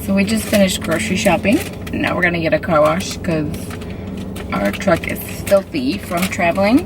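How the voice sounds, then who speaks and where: A woman vlogging in casual, rambling chat, hoarse from a cold, full of "like" and "um". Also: on the low side, moderate pace. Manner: chatty, filler-heavy, with frequent "like" and "um". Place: inside a moving truck. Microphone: handheld camera. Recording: road and vehicle noise.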